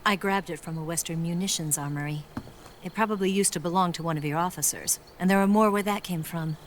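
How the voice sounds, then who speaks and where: Poised female spy and informant for a game cutscene woman acting, lowish voice, coolly teasing, faint night insects behind. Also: fast talker, a little hiss or hum, natural voice. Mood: businesslike. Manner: poised, coy.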